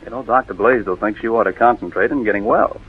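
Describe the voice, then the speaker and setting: Husky, scheming young nephew. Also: male voice, lowish voice, fast talker. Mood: solicitous, uneasy. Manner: evasive, stammering under pressure. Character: nervous, scheming male nephew heir, gambler. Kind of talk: 1940s radio drama acting. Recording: thin, muffled old radio recording.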